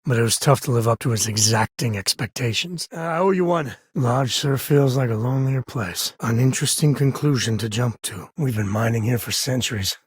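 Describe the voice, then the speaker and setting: An actor playing a rugged frontier space-cowboy companion in a video game, a wry, protective father with a husky American voice and a folksy, earnest way of talking. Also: mid-range voice, normal speed, hardly any pauses, no room sound, clean studio sound. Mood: earnest, measured. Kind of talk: video game character voice acting.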